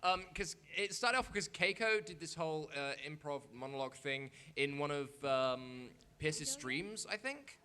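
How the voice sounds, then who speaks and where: A young man talking casually on a convention panel, halting and scattered as he fields questions from the audience. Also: a little high, lively intonation, pushed and bright, clear, resonant tone. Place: convention meeting room with audience. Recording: live convention room recording.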